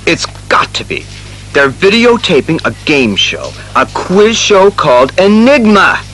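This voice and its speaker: An actor playing a brisk, exasperated male police commissioner in an audio drama, testy and emphatic. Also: high voice, wildly swooping pitch, a bit bright, soft background music, heavily acted, rough recording.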